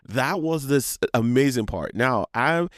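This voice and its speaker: A man's voice: mid-range voice, animated, measured pace, no echo, studio quality. A man from Nigeria with a Yoruba accent, hosting a casual solo podcast in a rambling, conversational way that often doubles back mid-phrase. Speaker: podcast host.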